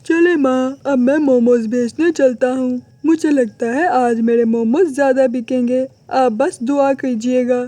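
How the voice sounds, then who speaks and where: A woman's voice: sits high, steady tone, a little slow, few pauses, dark tone, no echo. A woman voicing a devoted, hardworking, polite young man who is a poor street seller in a cartoon moral story. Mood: earnest, caring. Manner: earnest, dutiful, polite.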